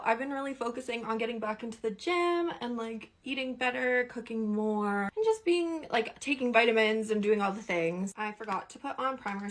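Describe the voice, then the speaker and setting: A Canadian woman chatting casually through a makeup tutorial, playful and slangy, with statements that rise like questions. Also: fairly high pitch. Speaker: beauty content creator.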